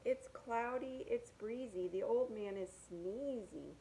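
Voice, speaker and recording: A woman's voice: a little high, slow pace, dark tone, a little hiss or hum, low-quality recording. A woman reading picture books aloud to young children, with sing-song rhymes and simple asides about the pictures.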